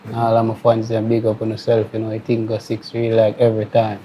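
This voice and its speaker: Jamaican guy, really monotone and mellow, casual interview, some noise behind him. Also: on the low side, fast, poor audio. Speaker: dancehall artist, interview guest.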